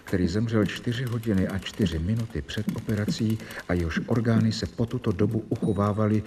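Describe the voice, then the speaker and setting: A man playing a doctor who reads out a formal medical record in flat dictation in a radio play. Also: lowish voice, slight background noise, close to an everyday voice. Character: male doctor reading a dry medical record.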